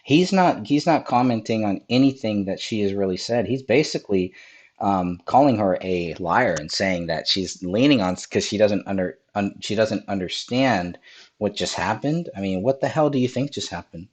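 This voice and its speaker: Guy with a cold, raspy and a bit hoarse, low voice, rambling commentary on a live chat. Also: animated, normal speed. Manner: rambling, with many restarts and fillers. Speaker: host of a livestream. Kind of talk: casual commentary over video clips.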